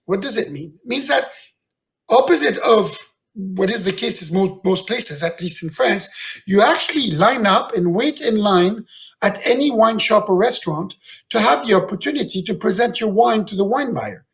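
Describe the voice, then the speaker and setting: Guy from switzerland, pretty high voice, chatty panel storytelling, repeats little words as he goes. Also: expressive, creaky, fairly bright tone. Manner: halting, often repeats words. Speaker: guest panelist, winemaker. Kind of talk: informal storytelling in an online round table.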